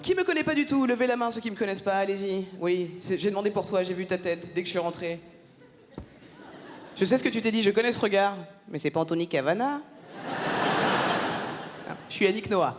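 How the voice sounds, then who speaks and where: A woman's voice: mid-range voice, brisk, pauses often, a little hiss or hum. A woman from France performing a stand-up comedy set on a theatre stage, teasing the crowd with punchy banter. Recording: live gala, long hall reverb.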